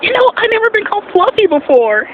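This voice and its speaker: Man doing a cutesy falsetto girl plush dragon. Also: big pitch swings, quick pace, narrow, tinny audio, slight background noise. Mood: sweet, flattered. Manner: cutesy, sweet, straining upward. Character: sweet female plush dragon in raw falsetto. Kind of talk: cartoon character voice.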